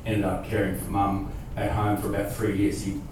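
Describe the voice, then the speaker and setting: A man hosting an interview, speaking loudly and haltingly with many repeated words. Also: lowish voice, completely flat delivery. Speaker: host, interviewer. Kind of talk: interview conversation. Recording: echoey room, far from the mic, clear noise.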